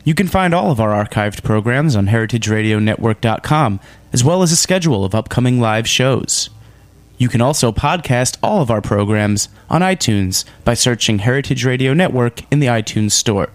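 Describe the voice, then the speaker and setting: A man's voice: medium pitch, expressive, normal speed, no echo. A man reading a scripted network announcement.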